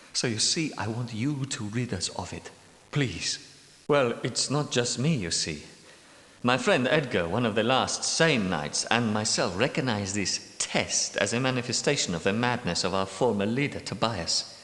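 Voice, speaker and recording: A man voicing a bitter, secretive knight guardian who is centuries old, speaking in a measured, world-weary way in a game's voice lines. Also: lowish voice, fairly bright tone. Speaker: game character. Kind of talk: game character voice lines. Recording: echoey reverberant room.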